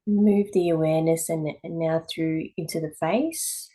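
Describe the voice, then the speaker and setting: Female yoga instructor, slightly low pitch, calm and measured guided-meditation delivery with pauses. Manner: calm, measured, with pauses between short phrases. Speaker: yoga teacher.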